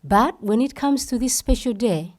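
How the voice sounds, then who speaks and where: Warm-voiced woman giving a passionate speech. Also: a little high, animated, moderate pace. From Uganda. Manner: emphatic and heartfelt, repeats phrases for stress. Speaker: festival founder giving a speech. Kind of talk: impassioned reception speech.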